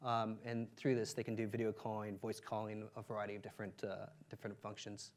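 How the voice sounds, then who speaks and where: A man giving an energetic startup pitch presentation, with many fillers, lifting his voice on key points. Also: brisk. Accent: non-Ukrainian English.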